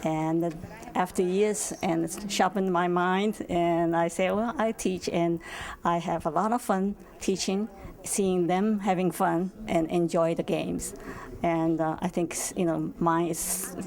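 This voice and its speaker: Older lady w hong kong chinese accent, kinda flat and creaky, chatting in an interview. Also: fairly low pitch, a little slow. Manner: non-native English grammar. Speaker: senior center volunteer game teacher. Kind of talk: casual interview answers.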